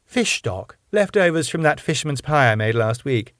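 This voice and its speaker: A man's voice: on the high side, very animated, normal speed, fairly bright tone, no echo, clean studio sound, voice pushed up. A man voicing a cheerful, domineering middle-aged wife in a raised voice. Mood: breezy. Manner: sweet but domineering.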